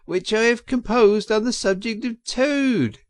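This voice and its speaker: A man voicing a smug, mocking chief weasel in a storybook, squeaky and given to mock-formal speechmaking. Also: a little slow. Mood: gleeful, taunting. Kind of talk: audiobook character voice.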